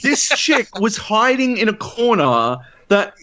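Profane, animated Australian man spinning a yarn. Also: high-pitched, slow, highs cut off. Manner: animated, profane storytelling with many fillers. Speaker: podcast co-host. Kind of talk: casual podcast chat.

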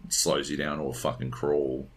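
A man's voice: very deep voice, flat delivery, a little slow, breathy edge. A man chatting casually and bluntly as a podcast co-host, rambling and swearing as he reviews video games. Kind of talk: casual unscripted chat.

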